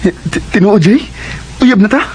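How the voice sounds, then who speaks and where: A man's voice: high voice, unhurried, a little mellow, slight background noise. A man playing an eager, bashful young student suitor in a Cebuano radio drama, with a Cebuano Filipino accent. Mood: overjoyed. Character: eager, bashful young male student courting his classmate.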